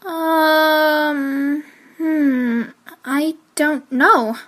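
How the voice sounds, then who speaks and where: A woman playing a reserved, brooding teenage girl in an amateur audio drama, measured and guarded in manner. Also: sits high, fairly even intonation, fairly bright tone, exaggerated voice. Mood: confused.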